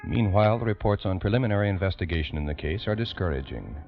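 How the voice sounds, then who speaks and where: Old radio crime narrator guy, low voice, really animated delivery, dramatic, muffled. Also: fast, over loud music. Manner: dramatic, formal.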